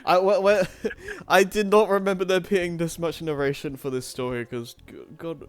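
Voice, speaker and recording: A man's voice: sits high, fairly even intonation, normal speed, clear, resonant tone. A man reading storybook narration with casual asides, often stumbling and correcting himself. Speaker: narrator of a streamed fan-fiction reading.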